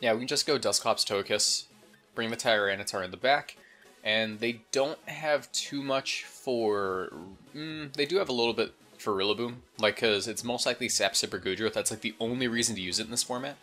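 A man's voice: mid-range voice, fairly quick, bright, forceful tone, soft background music. A slightly congested man giving casual, informal gameplay commentary, thinking aloud as he goes. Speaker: gaming video host.

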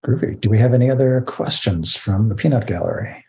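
A man's voice: on the low side, brisk, dark tone. A middle-aged man hosting a casual interview over a video call. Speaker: interview host.

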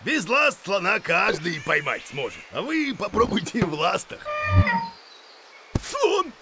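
Pompous silly cartoon creature, gravelly, high-pitched, blustering, boastful, muttering. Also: male voice, huge pitch swings, takes it slowly, very noisy, heavily acted. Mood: boastful, excitable. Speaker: main character of children's cartoon. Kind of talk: animated cartoon acting.

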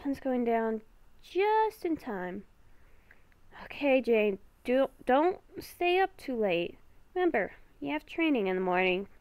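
A woman giving casual gameplay commentary, talking to herself in short, halting phrases. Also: on the high side, big pitch swings, a little mellow, no echo. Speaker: gamer recording a roleplay series.

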